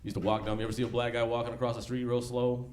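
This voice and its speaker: A man's voice: medium pitch, flat delivery, very fast, darkish tone. A forty-year-old American man doing conversational, slangy, profane stand-up comedy in a laid-back way, live in a club. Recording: live club recording with background noise.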